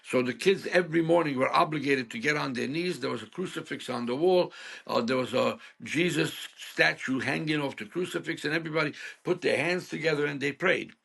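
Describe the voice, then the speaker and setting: Gravelly older man. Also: a little high, brisk, fairly bright tone. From Hungary. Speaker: guest. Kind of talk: storytelling interview.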